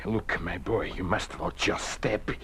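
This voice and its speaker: A courtly, cautioning older gentleman in a noisy old radio drama. The voice is deep and slow, with formal, deliberate wording and a paternal gravity. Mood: urgent, cautioning. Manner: formal, deliberate, stiff phrasing. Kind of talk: 1950s radio drama acting. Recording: noisy vintage 1950s radio broadcast.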